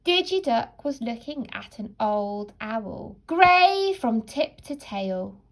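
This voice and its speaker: A woman narrating a children's storybook like a teacher, in a dramatic, deliberate and expressive way. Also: a little high, low-quality recording.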